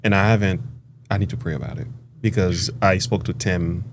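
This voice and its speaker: A man leading a casual group discussion, speaking haltingly and softly with frequent restarts and chuckles. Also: low voice, fairly even intonation. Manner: halting, soft-spoken, chuckling. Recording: noisy background hiss.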